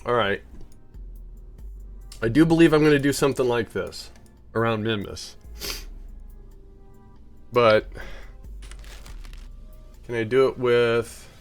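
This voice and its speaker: A man's voice: medium pitch, slow, pauses often, faint music behind. A man with a head cold giving rambling, casual commentary while live-streaming a space game, often correcting himself.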